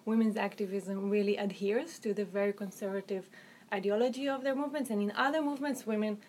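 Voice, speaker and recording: A woman with an Israeli accent, an author answering interview questions about her research in an articulate, thoughtful way, often pausing with "um" and restarting phrases. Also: mid-range voice, steady tone, darkish tone, slight background noise. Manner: articulate, hedging with um and you know, frequent restarts.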